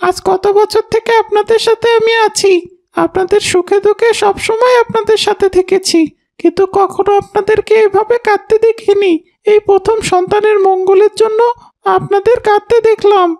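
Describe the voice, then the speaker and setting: Cartoon manservant, female performer, very shrill and monotone, humble and earnest toward his master. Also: moderate pace. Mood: earnest, deferential. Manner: deferential, earnest. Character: loyal, deferential male servant of a landlord. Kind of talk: cartoon voice acting.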